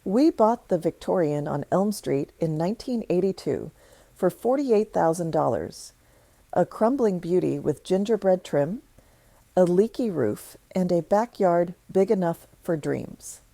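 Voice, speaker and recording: A woman reading a scripted first-person story in a measured, reflective way. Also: on the low side, expressive, creaky, dark tone, dry recording.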